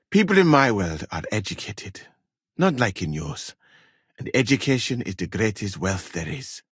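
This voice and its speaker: Husky, courteous scholar-physician. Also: male voice, fairly low pitch, no echo, clean studio sound, full character voice. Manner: formal, measured, uncontracted speech with wry politeness. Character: courteous, proud, learned Malian scholar and physician, a man. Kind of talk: video game character dialogue.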